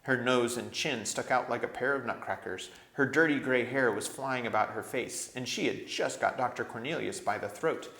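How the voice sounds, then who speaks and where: A man narrating a storybook aloud in an expressive, scripted read-aloud style. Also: mid-range voice, normal speed, few pauses. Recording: echoey room.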